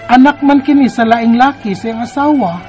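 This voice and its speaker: A woman's voice: fairly high pitch, moderate pace, dark tone, lightly acted. An actress with a Cebuano Filipino accent playing a troubled woman earnestly reading out her letter of questions in a radio drama. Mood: troubled, pleading. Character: troubled woman confiding her tangled love life. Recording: music under the voice. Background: background music.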